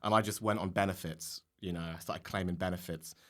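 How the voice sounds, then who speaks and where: Male comedian podcast guest, English accent, lowish voice, casual and jokey, prone to laughing mid-talk. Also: fairly even intonation, a little fast, a bit bright. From Norfolk, England. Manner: jokey, laughs often while talking.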